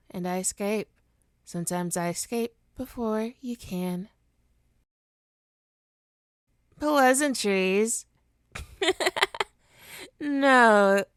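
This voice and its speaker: A woman's voice: medium pitch, clear, resonant tone, no echo, studio quality, natural voice. A woman playing a smug, teasing supervillain with hypnotic powers in an ASMR roleplay, taunting and theatrical in a condescending way. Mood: smug, playful. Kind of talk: ASMR roleplay monologue to listener.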